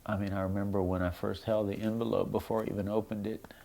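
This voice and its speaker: Middle-aged male, mellow with vocal fry, reading and reflecting on a personal letter as a son. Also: on the low side, level tone, quick pace, a little hiss or hum.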